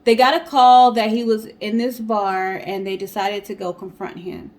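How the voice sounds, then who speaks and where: A woman's voice: mid-range voice, steady tone, moderate pace. Woman co-host telling a story. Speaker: co-host of a storytime guessing-game show. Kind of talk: storytelling from notes with casual banter.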